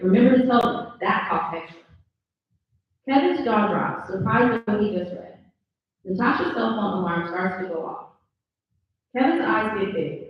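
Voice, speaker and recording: A woman reading scripted stage directions aloud as the narrator of a table read. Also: medium pitch. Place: live in-person event room. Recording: echoey room, distant muffled mic.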